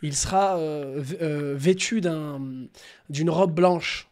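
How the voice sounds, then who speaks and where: A young man with a notably high voice talks on a casual live stream. He is animated and slangy, swears freely and spins crude stories like a prank caller. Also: slow. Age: young adult. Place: small room at home.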